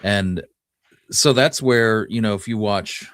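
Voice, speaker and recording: A man's voice: on the low side, slow pace, fairly bright tone, clean tone, no echo, clean studio sound. A man co-hosting a history show, explaining things in an informal, conversational way. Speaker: co-host of a Western history show. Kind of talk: casual conversational history discussion.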